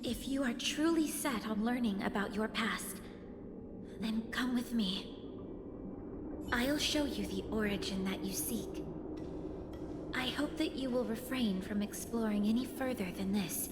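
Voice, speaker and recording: A woman's voice: fairly high pitch, measured pace, pauses often, background noise, close to an everyday voice. Woman voicing serene, mysterious guardian in long reverb. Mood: solemn, gentle. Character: mysterious, serene female guardian of memories, gently cautioning. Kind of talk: video game voice acting. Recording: long reverb with game music behind.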